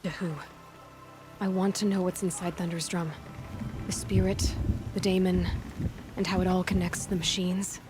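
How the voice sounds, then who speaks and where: An actress playing a bold young huntress in a video game, an outsider with a direct, wry way of talking. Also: female voice, fairly low pitch, flat delivery, moderate pace, music in the background, lightly acted. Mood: determined. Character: bold, blunt, dry-witted young outlander huntress. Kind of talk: naturalistic video game acting.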